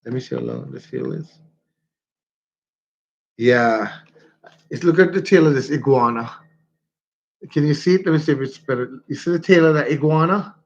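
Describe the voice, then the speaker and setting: A man's voice: a little high, big pitch swings, normal speed, vocal fry, pauses often. A man with a stuffy nose, chatting casually on a livestream and telling stories with frequent laughter. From Jamaica. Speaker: vlogger. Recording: dull lo-fi phone audio.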